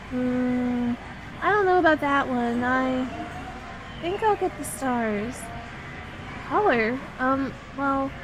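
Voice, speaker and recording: Woman acting a high-voiced, sweet, bashful young woman. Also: noisy background. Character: sweet, bashful young woman confiding in her best friend. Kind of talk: ASMR roleplay audio drama.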